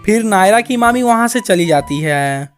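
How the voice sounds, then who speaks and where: A woman's voice: medium pitch, animated, normal speed, soft background music. A woman reading scripted narration for a cartoon story.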